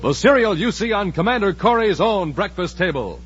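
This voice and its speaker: A man announcing in a 1950s radio style, emphatic and theatrical, like a serial narrator and pitchman. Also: lively intonation, normal speed, over loud music. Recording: noisy, muffled old radio recording.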